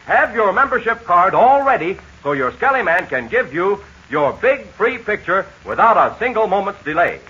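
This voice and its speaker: A man announcing a 1940s children's radio serial, pitching eagerly to young listeners in a muffled old transcription. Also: sing-song, normal speed, few pauses, sharp tone, slightly rough.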